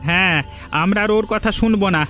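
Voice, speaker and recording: A man's voice: high-pitched, a little fast, pushed and bright, faint music behind. A man playing a blunt, defiant village trader in a folk-tale cartoon. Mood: resolute. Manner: blunt, resolute. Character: defiant village market trader rallying others.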